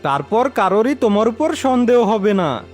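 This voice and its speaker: Cartoon villain man acting, bossy and menacing, high-pitched, drawn-out commands over clear background music. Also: sing-song. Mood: sly, commanding. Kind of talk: cartoon fairy-tale voice acting.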